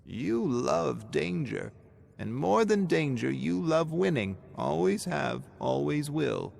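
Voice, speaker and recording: A man's voice: fairly high pitch, gravelly, a little mellow, voice pushed up, exaggerated voice. A man voicing a kindly but firm elderly grandmother, speaking in an earnest, admonishing way in a narrated story. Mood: fond admonishing.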